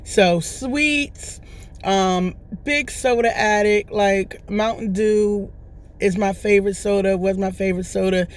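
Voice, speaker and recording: Hoarse lady rambling on her vlog, chatty, keeps going "right?". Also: mid-range voice, slow. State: hoarse, recovering from lost voice. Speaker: vlogger. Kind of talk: casual testimonial chat.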